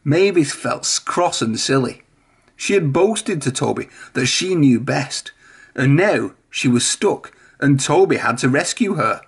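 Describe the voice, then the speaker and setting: A man's voice: mid-range voice, animated, a little slow, vocal fry, husky. A man reading a children's storybook aloud, narrating expressively. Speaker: storybook reader.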